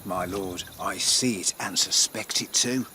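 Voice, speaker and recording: A man's voice: sits low, a little slow, noisy background. A man acting an obedient, furtive henchman who speaks deferentially to his lord in a classical radio drama. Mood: deferential, uneasy.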